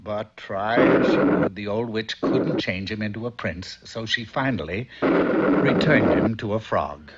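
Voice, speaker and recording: A man's voice: fairly low pitch, measured pace. A man narrating a comic fairy tale for a cartoon in a storybook style. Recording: dull old cartoon soundtrack, faint noise.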